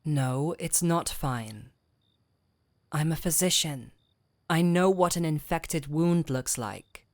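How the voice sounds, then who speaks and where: Slow-spoken, wry physician woman, acted out by a woman. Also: sits low, a little mellow, no room sound, studio quality. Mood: firm, concerned. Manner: measured, coaxing, wryly stern. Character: gentle but firm small-town fantasy physician woman, calm, wry. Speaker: audio roleplay performer. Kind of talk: intimate scripted audio roleplay addressed to the listener.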